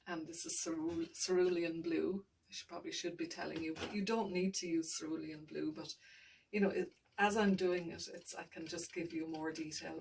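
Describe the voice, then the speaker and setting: Softly mumbling woman narrating a painting. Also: low-pitched, gravelly, distant mic, a little hiss or hum, low-quality recording. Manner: thinking aloud, mumbling, trailing off. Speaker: art tutor.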